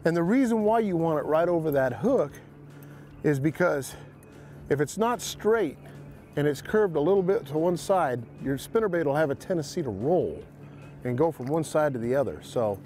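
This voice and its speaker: A middle-aged man hosting a fishing show, explaining tackle in a folksy way from a bass boat on an open lake. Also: moderate pace, soft background music. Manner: folksy, excited when landing fish. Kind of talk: outdoor fishing instruction.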